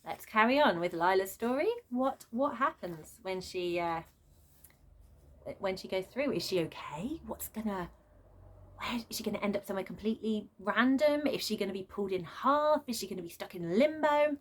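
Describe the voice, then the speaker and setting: Woman doing an off the cuff book rant, kinda sarcastic and animated, medium pitched voice. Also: moderate pace. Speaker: book reviewer. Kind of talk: unscripted book rant with read-aloud excerpts.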